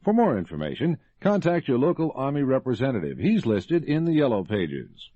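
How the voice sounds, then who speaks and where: A man's voice: medium pitch, huge pitch swings, moderate pace, background noise. An American man reading scripted announcements as a radio host. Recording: dull band-limited vintage radio recording.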